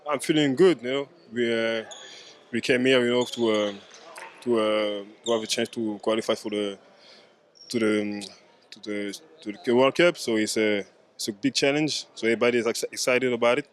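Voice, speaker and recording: A man on a national basketball team, speaking haltingly with repeated "you know" and restarts. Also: mid-range voice, fairly even intonation.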